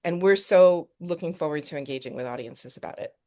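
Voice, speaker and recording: A woman from Texas, a film director and actress, answering interview questions with quick restarts and repeated words. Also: low-pitched, darkish tone.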